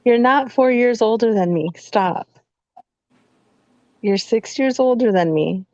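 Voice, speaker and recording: A woman in her late thirties co-hosting a casual livestream, easygoing and quick to laugh. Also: a little high, expressive, a little mellow, no room sound, muffled recording. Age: 30s. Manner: laughs often, easygoing, unhurried. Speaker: livestream co-host.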